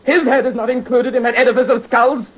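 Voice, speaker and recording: A man's voice: very high voice, steady tone, quick pace, husky, dull audio. A radio actor playing a tormented young nobleman in 1930s radio drama style, anguished and pleading, on an old recording. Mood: defiant.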